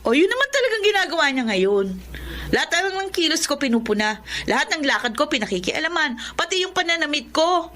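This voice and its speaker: A defiant young Filipino daughter in a radio drama. Her high voice swings around in petulant, whiny complaint, with faint noise behind. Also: sing-song, fairly quick, sharp tone, heavily acted. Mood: resentful. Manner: whiny, petulant, talking back.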